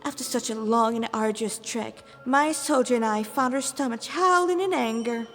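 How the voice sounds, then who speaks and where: Woman doing a vain flamboyant demon knight guy, high n loud, super grand, echoey hall sound. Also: normal speed, faint music behind, lightly acted. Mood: menacing, showy. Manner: theatrical, grandiose. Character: flamboyant male peacock-unicorn demon knight, vain and menacing. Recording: reverberant hall-like room sound.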